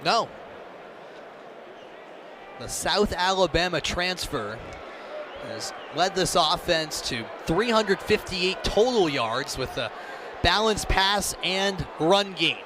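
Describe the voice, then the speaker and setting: A man's voice: high voice, lively intonation, slow pace, pauses often, crowd noise, noisy background. An American man doing live radio play-by-play, calling the action in detailed, punchy phrases. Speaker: play-by-play sports announcer. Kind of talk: live radio sports commentary.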